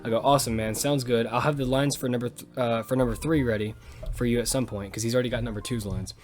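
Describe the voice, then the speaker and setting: A man's voice: medium pitch, fairly even intonation, quick pace, darkish tone. A young man hosting a podcast in casual, slangy banter. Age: young adult. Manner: informal, slangy, swears freely. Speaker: podcast host and audio fiction writer. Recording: music behind the voice. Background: music.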